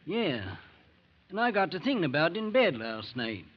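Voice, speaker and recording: A folksy country farmer with a highish, animated voice and hesitant, homespun phrasing. He is an anxious, plain-spoken father in an old-time radio drama, on a dull, muffled recording. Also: moderate pace. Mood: hesitant, earnest.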